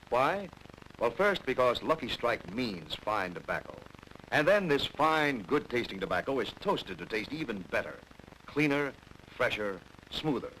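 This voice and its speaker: Lower-voiced, animated pitchman. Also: low-quality recording. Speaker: commercial pitchman. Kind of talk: scripted 1950s cigarette ad read. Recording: vintage 1950s broadcast, faint noise.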